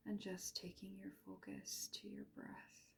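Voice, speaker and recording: Female meditation guide: very slow, breathy and soft, some creak in the voice, over music. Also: on the low side, wildly swooping pitch. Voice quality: soft, breathy, half-whispered. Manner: gentle, unhurried, with long pauses. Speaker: meditation guide, yoga teacher.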